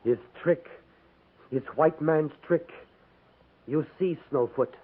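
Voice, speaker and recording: An actor playing a suspicious warrior in a 1950s radio western, speaking clipped, stilted English. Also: male voice, fairly high pitch, takes it slowly. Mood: wary, urgent. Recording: noisy, narrow-band 1950s radio recording.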